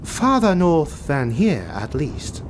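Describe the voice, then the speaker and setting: Man putting on an arch, higher-pitched knight retainer. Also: sing-song, slow pace, noisy background. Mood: explaining. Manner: arch, deferential yet indignant. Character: haughty, easily offended knight retainer.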